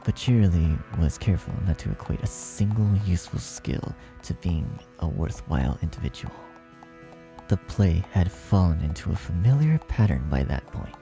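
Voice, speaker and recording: A man's voice: low-pitched, creaky, poor audio. A man reading a fan fiction story aloud as its narrator in a scripted style.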